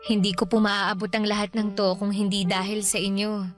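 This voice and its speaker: A woman's voice: mid-range voice, level tone, brisk, lightly acted. A Filipino-accented woman playing a playful, devoted grown daughter in a radio drama, respectful to her elder. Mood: earnest, devoted. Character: cheerful, devoted adult daughter who owns a bakery. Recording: music under the dialogue.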